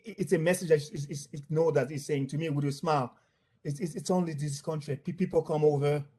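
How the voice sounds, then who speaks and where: Higher-pitched man with a Haitian accent, halting delivery. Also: dark tone, slightly rough. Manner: halting, repeats words and phrases. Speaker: former bank employee giving testimony. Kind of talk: spontaneous spoken account of workplace incidents.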